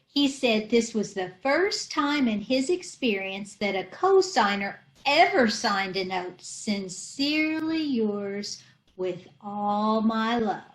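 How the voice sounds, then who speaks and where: A woman's voice: fairly high pitch, animated, slow pace. A woman cast member chatting after a live show over a video call, playful and joking. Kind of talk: casual chat after a performance.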